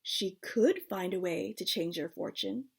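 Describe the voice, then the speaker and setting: Storybook goldfish peddler, a slender man read by a woman: measured, calm, slightly creaky. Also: medium pitch, voice pushed down. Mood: calm, steady. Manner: steady, quiet, measured. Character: calm, slender male goldfish seller, wise and steady.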